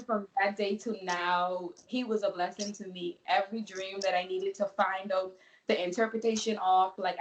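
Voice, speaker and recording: A woman's voice: medium pitch, very noisy. A woman from the Bahamas giving a casual, chatty testimony as a guest, with plenty of fillers. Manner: chatty, lots of fillers like "you know".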